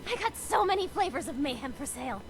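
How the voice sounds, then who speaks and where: An actress playing a manic, excitable female arms merchant in a video game, with sing-song sales patter. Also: high-pitched, quick pace, music in the background, full character voice. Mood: gleeful, hawking. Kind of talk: video game character acting. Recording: clearly noisy game audio.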